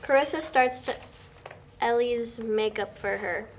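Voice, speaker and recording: Young female narrator, fairly high voice, reading scripted scene directions, slightly noisy room. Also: unhurried. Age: young adult. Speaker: stage-direction narrator at a table read.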